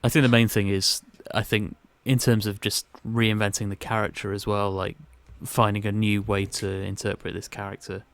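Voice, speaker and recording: A man's voice: lowish voice, flat delivery, moderate pace, few pauses, a bit bright. A man giving his opinions in casual podcast chat, thinking aloud and rephrasing as he goes. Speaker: podcast panellist.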